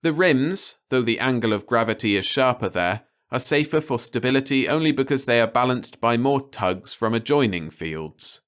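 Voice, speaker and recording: A man's voice: medium pitch, expressive, moderate pace, few pauses, a bit bright, pitched above the performer's own voice, close to an everyday voice. A man voicing a self-important scientist in a story, lecturing on television in a hesitant, pedantic, self-correcting way. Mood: pedantic, earnest.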